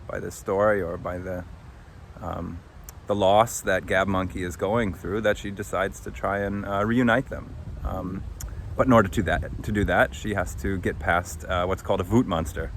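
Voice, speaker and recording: A man hosting a podcast as its author, giving a casual, unscripted recap and searching for his words. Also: sits low. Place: outdoors under a tree. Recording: faint outdoor traffic-like noise. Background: faint outdoor urban ambience.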